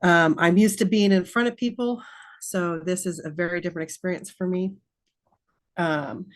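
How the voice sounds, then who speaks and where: A middle-aged woman from California with a fairly low voice gives an informal talk on a video call. She is a guest presenter, slightly nervous and feeling her way along. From Sonoma County, California. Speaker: 911 dispatcher, guest speaker.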